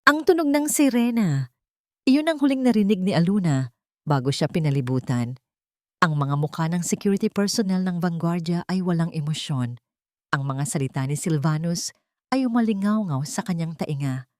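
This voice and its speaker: A woman's voice: lowish voice, expressive, moderate pace, a little mellow, dry recording, studio quality. A woman narrating a scripted dramatic story.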